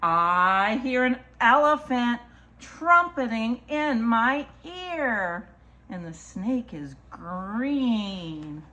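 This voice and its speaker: A woman's voice: a little high, sharp tone. A woman reading a picture book aloud to young children, with singsong repetition and simple asides to the listeners. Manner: slow, deliberate, singsong repetition; addresses small children directly with simple asides. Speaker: children's story time reader.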